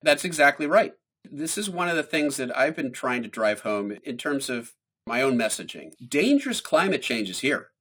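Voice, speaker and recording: A man speaking as a scientist guest in an interview, explaining in a measured way and stressing key points. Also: medium pitch, very animated, a bit bright, slightly rough.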